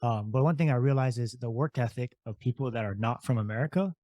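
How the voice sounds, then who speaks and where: A man's voice: mid-range voice, fast, mellow, no room sound. Rambling laid-back podcast host man. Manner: rambling, loose and conversational. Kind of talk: casual interview talk.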